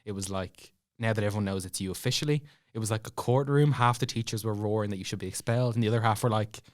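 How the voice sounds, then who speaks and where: A man's voice: lowish voice, quick pace. A young man with an Irish accent telling a personal story in casual chat, acting out bits of dialogue as he goes. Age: young adult. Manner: animated retelling with acted-out dialogue and laughing asides. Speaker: podcast guest telling a story.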